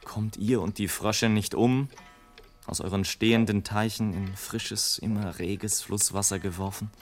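An actor playing a scornful airship traveller in a radio play, delivering a sardonic, declamatory monologue as a bitter satirist of mankind. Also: male voice, fairly low pitch, fairly even intonation, measured pace. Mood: indignant, haranguing. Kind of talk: literary radio play monologue, late 1980s. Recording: music behind the voice. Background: music.

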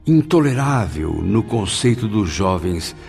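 Low-voiced, sorrowful Brazilian spirit over music. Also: male voice, sing-song, measured pace, vocal fry, darkish tone, exaggerated voice. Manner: sorrowful, solemn, literary diction. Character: melancholy, guilt-ridden male spirit grieving absent friends. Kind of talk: radio drama acting.

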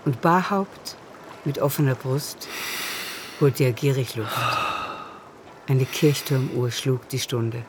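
A woman's voice: a bass voice, slow pace, pauses often, heavy background noise. A woman narrating a literary radio play in a scripted, storytelling style.

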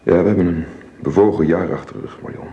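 An actor playing a middle-aged father in a radio drama, halting, formal and earnest as he speaks. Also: low-pitched, gravelly, muffled recording. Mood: grateful, earnest. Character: grateful, awkward father taking leave, reserved and earnest. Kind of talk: naturalistic radio drama acting. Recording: slightly dated radio drama recording.

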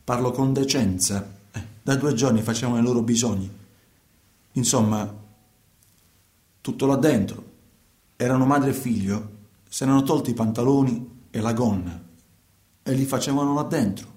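An actor playing a guilty, self-justifying truck driver in a radio play, with a southern Italian accent and a halting, repetitive, colloquial delivery. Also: male voice, mid-range voice, darkish tone, natural voice. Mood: uneasy, explaining. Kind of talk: radio theatre monologue, colloquial confession. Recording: echoey room.

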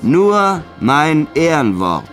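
A man acting out a standoff between a cornered gangster and a police commissioner in a radio drama, calling out loudly with a strained, challenging delivery. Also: a little high, sing-song, extremely slow, soft background music, pitched above the performer's own voice, exaggerated voice. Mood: defiant, loud. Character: cornered male gangster and police commissioner shouting through a door. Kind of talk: acted radio drama dialogue.

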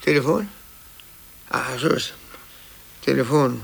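A man playing a timid, blustering office clerk in a 1950s German radio drama, stammering and agitated, with a gravelly, husky voice. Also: medium pitch, animated, slow, a bit bright, full character voice. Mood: nervous, evasive. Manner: agitated, stammering, swinging between bluster and cowering. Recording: 1950s radio play from cassette.

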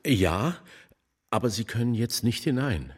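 A man's voice: lively intonation, a little slow. A male actor playing an evasive, gossipy newspaper doorkeeper in a German radio drama, hesitant and muttering. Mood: evasive, confiding. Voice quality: husky, low.

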